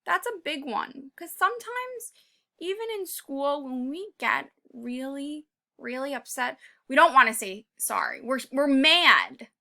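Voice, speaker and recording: A woman reading a children's picture book aloud, pausing to talk to young listeners in an encouraging way and sometimes dropping to a whisper. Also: high voice, animated, gravelly. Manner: drops to a whisper at quiet moments. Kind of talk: read-aloud with commentary for kids.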